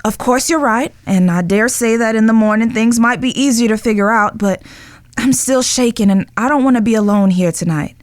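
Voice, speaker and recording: A woman playing a guilt-ridden, lovestruck young outlaw spy in an audio drama, speaking earnestly and emotionally with pauses. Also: fairly high pitch, dry recording, lightly acted. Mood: tender, pleading.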